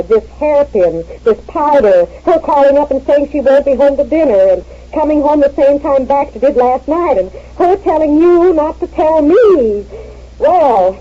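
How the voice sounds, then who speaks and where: An actress playing a jealous, suspicious wife who fires accusing questions at her friend, in 1940s radio acting style. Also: sits high. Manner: rapid interrogating, accusing. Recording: dull lo-fi old radio recording.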